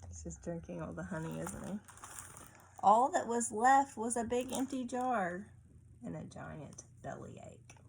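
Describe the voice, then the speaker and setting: Slow, gravelly woman reading a picture book. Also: medium pitch, expressive, darkish tone, low-quality recording. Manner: asks coaxing tag questions of a child listener. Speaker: storybook reader. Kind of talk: picture-book read-aloud to a child. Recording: faint background room noise.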